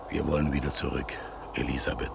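An actor playing a solemn, mysterious talking raven in a radio play, with a husky, gravelly voice and a slow delivery. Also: male voice, very deep voice, level tone, noisy background, full character voice. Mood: gentle. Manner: slow, solemn, sometimes calling out or whispering. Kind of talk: German radio play acting.

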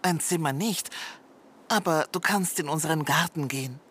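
A woman's voice: deep voice, moderate pace, a bit bright, husky, full character voice. An actress playing a motherly, helpful woman in a radio drama. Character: surprised, helpful French woman, motherly acquaintance.

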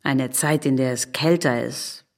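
A woman's voice: a bass voice, measured pace. An actress playing a curious, self-assured woman in a radio drama, probing and dryly playful. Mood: teasing, probing. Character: curious, teasing, self-assured married woman, Fräulein Schlüter. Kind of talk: naturalistic radio drama acting.

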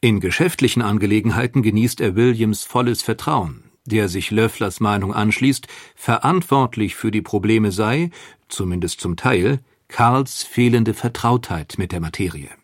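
A man narrating a scripted history documentary in a calm, factual delivery. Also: on the low side, moderate pace, dry recording, studio quality.

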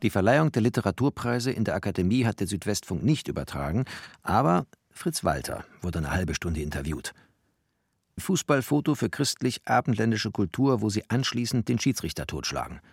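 A scornful bookish man in a radio play. His husky, lowish voice declaims with sardonic literary flair in a very dry room. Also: sing-song, a little fast, dry recording, exaggerated voice. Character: scornful bookish man mocking popular culture. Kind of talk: satirical literary monologue.

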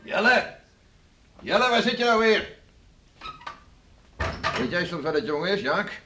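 An actor playing a hearty, gruff farmer and beachcomber father in a Dutch radio drama, talking in a bluff, teasing way. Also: sits high, very animated, unhurried, pushed and bright, a little hiss or hum, full character voice. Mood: gruff, nostalgic. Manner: bluff, teasing, chuckling, colloquial.

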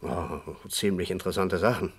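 Chatty, low-voiced good-natured storyteller. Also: male voice, moderate pace, husky. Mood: puzzled recalling. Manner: talkative, reminiscing, conversational. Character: chatty, good-natured male host reminiscing about an old regular. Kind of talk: German radio crime drama acting.

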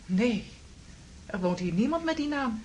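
Landlady: plain-spoken, dismissive, raised pitch, slightly noisy radio drama sound. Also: expressive, a little slow, darkish tone. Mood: firm, puzzled. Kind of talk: Dutch radio play acting.

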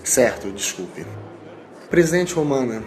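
A man's voice: medium pitch, very animated, measured pace, darkish tone. A man playing an earnest, worried aide to an alien president in an audio drama, speaking deferentially. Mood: uneasy. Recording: clear background ambience noise.

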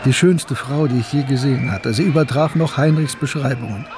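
A man acting as a reflective student who recalls his life in a measured, literary radio drama narration. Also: medium pitch, background noise, lightly acted. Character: Gustav, reflective male mathematics student recalling his past. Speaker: narrator and protagonist. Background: music.

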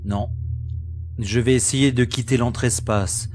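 A man playing a frank, resolute starship pilot in a science fiction audio drama, explaining things in a measured way. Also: medium pitch, fairly even intonation, darkish tone, soft background music, lightly acted. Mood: grave, explaining.

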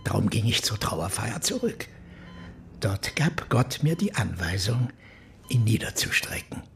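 An actor playing a fanatical preacher who calmly confesses to murder in a radio crime drama, with a slow, hushed, zealous delivery. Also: male voice, lowish voice, vocal fry, whispered, soft background music, full character voice. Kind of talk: radio play acting.

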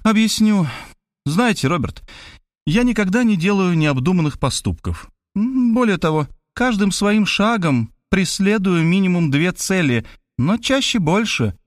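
A man's voice: sits high, big pitch swings, unhurried, no echo, studio quality, pitched above the performer's own voice. Man voicing a smug lecturing lady sleuth. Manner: patronizing, matter-of-fact lecturing. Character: self-assured woman writer turned sleuth, lecturing.

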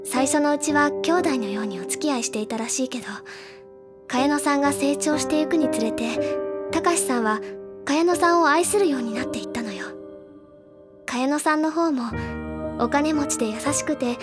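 A woman acting gentle bookish teen girl, audio drama, high soft voice, music loud behind her. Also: normal speed, natural voice. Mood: gentle, wistful. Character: bookish teenage schoolgirl, gentle storyteller, easily flustered. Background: clear background music.

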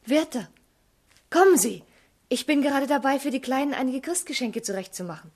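An actress playing a tender, troubled young woman in a radio drama, with a breathy, husky voice and earnest delivery. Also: high-pitched, animated, brisk, a little mellow. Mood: friendly. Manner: earnest, pleading, sometimes dropping to a whisper. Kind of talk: German literary radio drama acting.

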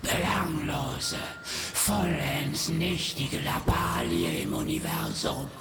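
An actor in a radio drama voicing an inhuman cosmic horror that hisses slow, contemptuous proclamations. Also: mid-range voice, steady tone, gravelly, reverberant, raised voice, over music. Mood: menacing, dismissive. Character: cosmic nameless horror, whispering contempt for mankind.

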